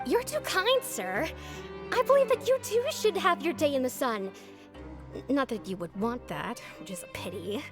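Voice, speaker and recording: A young woman reporter in an anime game, eager and chatty. Her voice is very high and swings widely with expression. Music plays underneath. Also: moderate pace. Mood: cheerful. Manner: bright-spirited, chatty, expressive. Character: young female reporter, eager, chirpy and polite. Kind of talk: anime-style video game voice acting.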